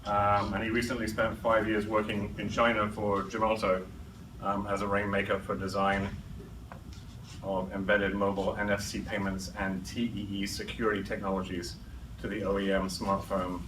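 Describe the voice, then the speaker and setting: A man acting as host, introducing a guest speaker in a halting manner full of ums and uhs. His voice is low and flat, and it is caught from far off in an echoey room with clear background noise. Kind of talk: hesitant spoken introduction. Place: conference room in an office.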